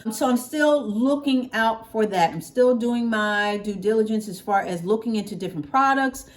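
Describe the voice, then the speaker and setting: A middle-aged American woman chatting casually to her viewers in a folksy, expressive way, repeating phrases for emphasis, recorded in a living room at home. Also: fairly high pitch, normal speed. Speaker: hair care vlogger.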